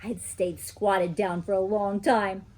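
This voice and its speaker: A woman reading a children's story aloud in an expressive storytelling style, like a teacher. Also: medium pitch, a little slow.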